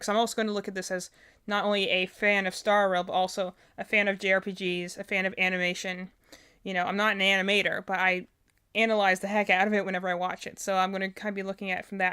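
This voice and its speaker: A woman's voice: medium pitch, fairly bright tone, clear, resonant tone. A woman hosting a livestream in a casual, rambling way, thinking aloud and often correcting herself as she goes. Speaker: livestream host.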